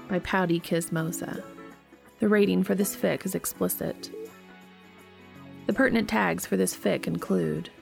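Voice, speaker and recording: A woman's voice: lowish voice, gravelly, a little mellow. A woman reading scripted story narration as a podcast host. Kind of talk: scripted narration of fan fiction.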